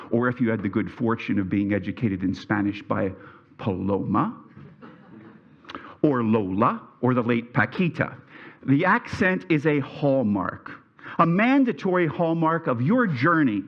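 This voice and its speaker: A man's voice: medium pitch, big pitch swings, a little slow, highs cut off. Nervous Pennsylvania Dutch man giving a speech. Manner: nervous, stumbling over words. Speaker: alumni speaker. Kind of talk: prepared speech at a reunion. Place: reunion event hall.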